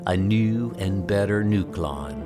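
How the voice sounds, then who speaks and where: A man's voice: low-pitched, slow. Male performer as sneering inner tormentor, theatrical and mocking, over music. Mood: taunting. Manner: taunting, theatrical. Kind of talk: comic book audio drama. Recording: music under the voice.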